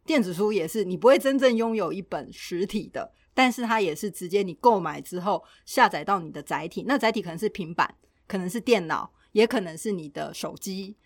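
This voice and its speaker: A female podcast co-host with a Taiwanese Mandarin accent. She has a medium-pitched voice and an easy conversational manner, often curious and questioning. The recording is dry, without room echo. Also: animated, fairly quick. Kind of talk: casual podcast chat.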